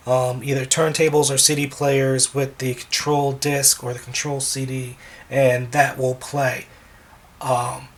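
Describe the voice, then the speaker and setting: A man with a slightly hoarse voice, speaking at an ordinary middle pitch. He chats casually as a wedding DJ going over his gear. He rambles in a relaxed way, with lots of ums and restarts.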